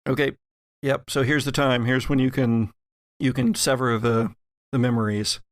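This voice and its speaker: A man running a horror tabletop game as game master, narrating in deliberate, dramatic short phrases. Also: mid-range voice, little pitch movement, no room sound. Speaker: game master and podcast host.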